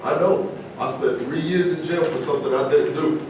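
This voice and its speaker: A man's voice: fairly even intonation, normal speed, natural voice. Man reading a tough ex-boxer, echoing and distant. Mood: blunt. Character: tough street-smart male ex-boxer from Brooklyn, plainspoken. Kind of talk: staged play reading. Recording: echoey distant room recording, noisy rumble.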